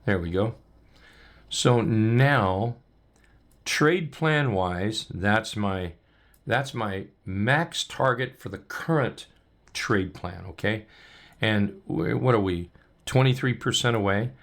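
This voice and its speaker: Hoarse gravelly man walking through charts. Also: fairly low pitch, takes it slowly, a bit bright. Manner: upbeat, loose, many ums and restarts. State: losing his voice. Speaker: trading coach. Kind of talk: casual chart walkthrough.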